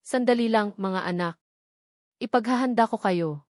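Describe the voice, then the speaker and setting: A woman's voice: mid-range voice, normal speed, a little mellow, no room sound, clean studio sound, close to an everyday voice. Woman voicing a tired, devoted young single mother. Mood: patient.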